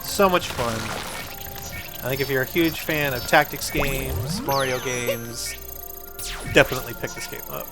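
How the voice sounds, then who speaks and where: A man's voice: medium pitch, a little slow. A man hosting a gaming video, giving casual, enthusiastic gameplay commentary in an informal, explaining way. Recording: game music behind the voice.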